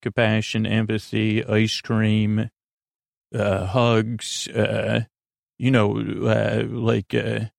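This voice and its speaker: A man hosting a bedtime podcast in a rambling monologue, halting and stammering with frequent false starts and self-corrections, in a gravelly voice. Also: fairly low pitch, completely flat delivery, no room sound, clean studio sound. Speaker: podcast host.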